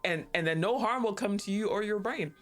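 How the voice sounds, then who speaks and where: A woman's voice: medium pitch, fairly quick, faint music behind. Rambling, chuckling woman streamer. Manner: rambling, chuckles often, swears offhandedly. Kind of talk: casual stream chat.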